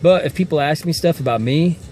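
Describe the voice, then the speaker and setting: A man's voice: a little high, a little fast, darkish tone, slight background noise. A man in his forties talking casually to a phone camera from inside a car at night, rambling and restarting his sentences often. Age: 40s. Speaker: fitness vlogger.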